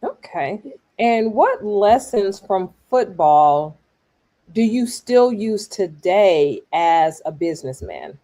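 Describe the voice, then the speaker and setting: A woman hosts an interview, asking her questions in a deliberate and gracious way. She speaks slowly, with a warm, mellow tone. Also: medium pitch, lively intonation. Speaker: podcast host. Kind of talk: casual interview.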